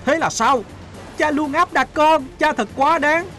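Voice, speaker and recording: A man's voice: extremely high pitch, measured pace, sharp tone, soft background music, heavily acted. A man playing a defiant young son in a cartoon, indignant and emphatic. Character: defiant young son talking back to his father. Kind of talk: cartoon dub acting.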